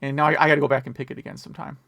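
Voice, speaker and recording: A male podcast host with a creaky, gravel-edged voice at a middling pitch. He talks casually and rambles into asides, recorded dry and close. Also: level tone, rapid-fire, a bit bright, dry recording. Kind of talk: casual retro gaming news chat.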